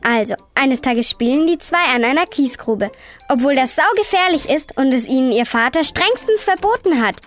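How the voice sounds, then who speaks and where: A woman's voice: high-pitched, lively intonation, moderate pace, a bit bright. A woman playing a sassy, eager young girl on a scripted children's radio show. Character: bossy, chatty young girl recommending books.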